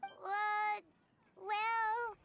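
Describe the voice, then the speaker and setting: Squeaky, extremely high-pitched tiny fairy. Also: female voice, flat delivery, extremely slow, creaky, background noise, full character voice. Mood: puzzled, curious. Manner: squeaky, childlike, inquisitive. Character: tiny childlike fairy companion, naive, curious, blunt. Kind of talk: anime-style video game voice acting.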